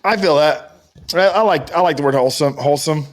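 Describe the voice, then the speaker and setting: Casting: male co-host for a buddy podcast, a little higher-pitched, informal and slangy. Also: a little fast. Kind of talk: casual chat.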